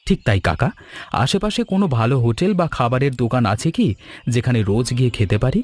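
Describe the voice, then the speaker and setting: A man playing a polite young office worker new in town, in an animated storybook cartoon, speaking a little plaintively. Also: mid-range voice, fast talker, lightly acted. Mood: troubled, asking. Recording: music bed under the voice.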